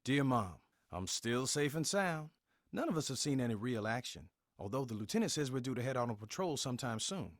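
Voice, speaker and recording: A man's voice: medium pitch, dry recording, clean studio sound, natural voice. A young man playing an earnest, plainspoken Marine reading his letter home as a game voice-over. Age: young adult. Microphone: close, dry studio voice-over.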